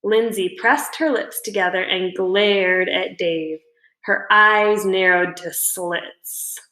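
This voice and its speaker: A woman reading a children's book aloud as a storyteller, in expressive scripted narration. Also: medium pitch, slow. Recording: roomy indoor echo.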